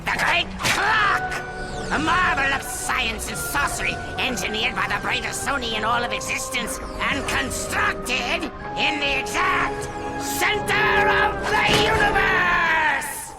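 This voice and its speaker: A theatrical robot mad scientist villain, gloating and egomaniac. His gravelly voice leaps up into shrieks and stretches words out slowly. It is a video game cutscene performance. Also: very high-pitched, lively intonation, loud music behind the voice, full character voice.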